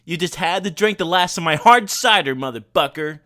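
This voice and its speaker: Man acting shrill, hot-tempered drunken cartoon mare. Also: lively intonation, moderate pace. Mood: furious, defiant. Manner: shouting, foul-mouthed. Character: hot-tempered hard-drinking female cartoon pony.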